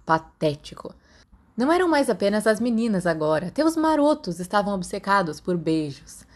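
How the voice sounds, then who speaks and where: A woman's voice: medium pitch, sing-song, moderate pace. A woman with a Brazilian accent reading a scripted story as an audiobook narrator.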